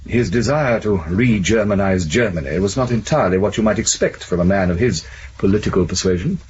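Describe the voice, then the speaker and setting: Secret service official, acted by a man: lowish, measured, formal, guarded. Seventies radio play, muffled recording. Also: natural voice. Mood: firm. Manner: measured, formal, quietly authoritative. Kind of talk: 1970s radio drama acting. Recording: dull, noisy old radio recording.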